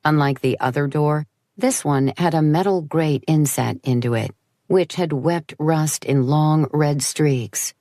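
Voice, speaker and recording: A woman's voice: deep voice, a little slow, warm tone, dry recording. A woman reading first-person horror fiction as an audiobook narrator, in a wry, conversational storytelling way.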